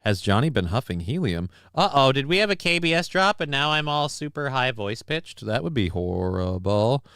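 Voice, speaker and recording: Male stream host, middle pitch, smooth clear tone, crude jokey banter into a dry close mic. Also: big pitch swings, normal speed, hardly any pauses, fairly bright tone, no echo. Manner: profane, joking, reads viewer comments aloud; mock-grand war speech delivery. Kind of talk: casual live stream chat. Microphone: desk microphone.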